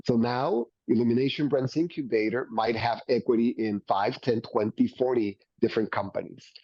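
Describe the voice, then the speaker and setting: A man's voice: medium pitch, dark tone, dry recording. A man from Mexico with a Mexican Spanish accent, speaking as an enthusiastic beverage executive in a business interview, often repeating words as he builds his points. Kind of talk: business interview, pitching plans. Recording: dull, dry sound.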